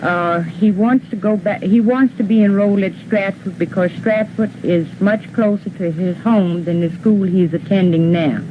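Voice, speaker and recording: A middle-aged woman answers a reporter as a parent, a little haltingly. The old film sound is muffled with noticeable noise. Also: medium pitch. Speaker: parent interviewee. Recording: dull old newsfilm, clear noise.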